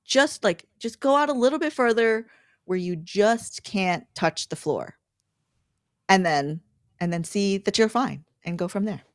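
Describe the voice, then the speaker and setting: A woman's voice: mid-range voice, animated, unhurried, no echo, clean studio sound. A woman content creator chatting informally and conversationally as a livestream guest. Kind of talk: casual livestream chat.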